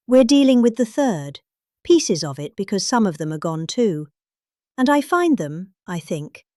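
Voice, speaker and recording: A middle-aged woman with a British accent giving a deadpan comic reading, pompous, with pointed pauses and heavy emphasis. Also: mid-range voice, no echo, clean studio sound. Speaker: comic reader.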